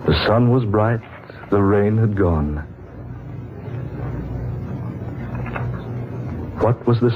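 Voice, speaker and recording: A man's voice: fairly low pitch, background noise, close to an everyday voice. An American actor playing an earnest, awed man narrating his strange journey in 1940s radio style. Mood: reflective narration. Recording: muffled 1940s radio recording, loud music behind.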